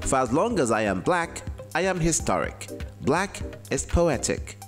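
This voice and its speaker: A man's voice: fairly high pitch, sing-song, a little slow, over music. A man reciting a poem in a dramatic spoken-word style. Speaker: poetry reader.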